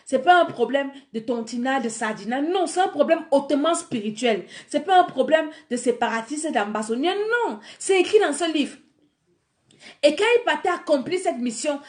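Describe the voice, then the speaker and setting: Fervent woman preacher with a cold. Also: high-pitched. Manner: fervent, swings between soft prayer and rising exhortation. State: has a cold. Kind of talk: sermon with guided prayer.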